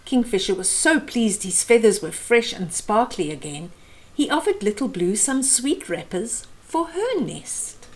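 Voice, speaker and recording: A woman in her fifties reading a children's picture book aloud expressively, with deliberate phrasing for young listeners. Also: a little high, big pitch swings. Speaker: storyteller host. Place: small room at home, indoors.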